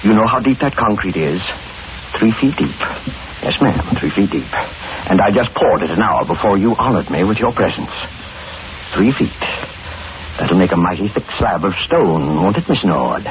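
An actor playing a creepy, gleeful murderer posing as a fussy gentleman in 1940s radio horror, muttering and repeating himself in an oily way. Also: sits low, expressive, moderate pace. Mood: gloating, menacing. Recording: muffled, noisy old radio recording.

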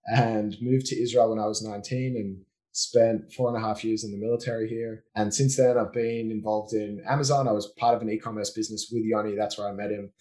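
A man's voice: on the low side, brisk, few pauses. Australian man chatting as podcast guest. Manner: relaxed, explanatory, frequent fillers and restarts. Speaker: podcast guest, business owner. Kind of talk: casual conversational interview.

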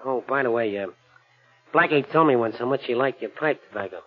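Fast-talking cocky gangster played by a man. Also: medium pitch, breathy edge, lightly acted. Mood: falsely friendly. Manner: clipped, slangy tough-guy talk. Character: menacing, smug male gangster, cocky and suspicious. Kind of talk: 1940s radio drama acting. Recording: muffled old-time radio recording.

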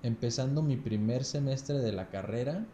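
Mexican man in his 30s, podcast guest, dark mellow voice, relaxed and foul-mouthed, echoey room. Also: medium pitch, moderate pace, lo-fi sound, a little hiss or hum. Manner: relaxed, crude slang, ends phrases with "güey". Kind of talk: casual storytelling chat.

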